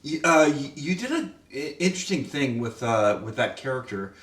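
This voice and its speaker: A man's voice: medium pitch, expressive, normal speed, fairly bright tone. Man host in a roomy room. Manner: chatty, asks questions, many restarts. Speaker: writer-director and host. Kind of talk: casual roundtable chat. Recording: roomy, mic at a distance.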